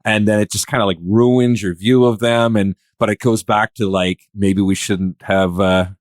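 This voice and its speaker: A man speaking in a casual, conversational podcast discussion, with a halting, rambling way of working through his thoughts. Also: on the low side, fairly even intonation, no echo, clean studio sound. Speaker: podcast participant.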